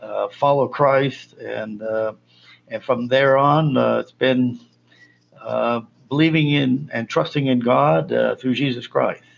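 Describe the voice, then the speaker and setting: A man from Colombia, a missionary guest, answering interview questions in a halting way with many fillers. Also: mid-range voice, fairly even intonation, gravelly, lo-fi sound.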